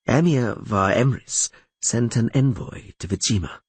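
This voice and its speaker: A man voicing a male bard who recounts grim news in an expressive, grave way in an audiobook. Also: medium pitch, measured pace, husky, no echo, pitched above the performer's own voice. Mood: grave recounting.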